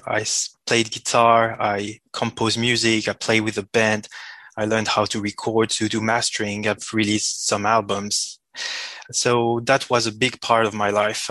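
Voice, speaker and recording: Bright-voiced Swiss French man, slightly congested. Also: fairly low pitch, dry recording. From Switzerland. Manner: hesitant, self-correcting. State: slight cold. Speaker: interview guest. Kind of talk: halting conversational interview talk.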